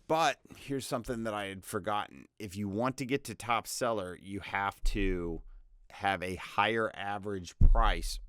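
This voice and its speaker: A young man with a deep voice giving a casual, rambling screen-share tutorial on freelance selling, often interrupting himself with upbeat advice. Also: fairly bright tone, clear, resonant tone, no echo, studio quality. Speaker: voice-over freelancer teaching online selling.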